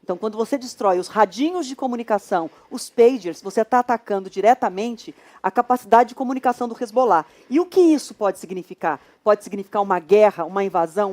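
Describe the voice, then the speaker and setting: A woman's voice: medium pitch, darkish tone. A woman with a Brazilian accent anchoring live news and commenting on it in a conversational, explanatory way.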